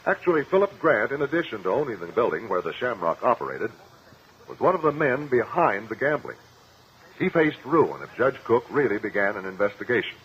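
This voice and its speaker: An actor playing a shrewd, confident courtroom investigator in a 1950s radio drama, speaking formally and precisely. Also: male voice, medium pitch, very animated, a little fast, husky. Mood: confident, explanatory. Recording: muffled, noisy old radio recording.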